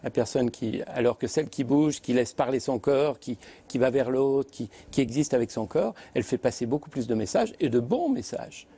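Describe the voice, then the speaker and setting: French man, gravelly and dark-toned, calm explainer. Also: mid-range voice, moderate pace, hardly any pauses. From France. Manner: calm, explanatory, slightly hesitant. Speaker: guest expert. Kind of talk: interview explanation.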